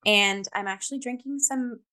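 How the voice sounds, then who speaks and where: A woman's voice: a little high, moderate pace, sharp tone, low-quality recording. A woman co-hosting a casual chat show, talking in an upbeat, slangy way. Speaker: talk show co-host.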